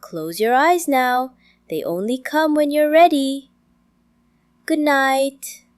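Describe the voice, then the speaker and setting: Very slow, soothing woman storyteller. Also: high-pitched. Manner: calm, gentle, unhurried with frequent pauses. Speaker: bedtime story narrator. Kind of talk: slow, soothing scripted bedtime storytelling for toddlers.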